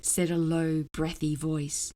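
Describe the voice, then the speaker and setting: A woman narrating a children's story aloud in a dramatic, expressive way. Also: low-pitched, slow pace. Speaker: storybook reader.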